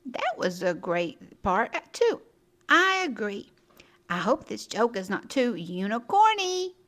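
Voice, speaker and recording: Lady reading a kids story, bright high voice, slow and really expressive. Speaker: children's storytime host and narrator. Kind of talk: expressive read-aloud storytelling for young children.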